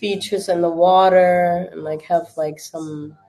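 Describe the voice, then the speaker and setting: A woman's voice: fairly low pitch, little pitch movement, takes it slowly, dark tone. Chatty German-accented woman streaming. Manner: chatty, many fillers, answers chat. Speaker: livestream watch-party host. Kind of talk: casual livestream chat.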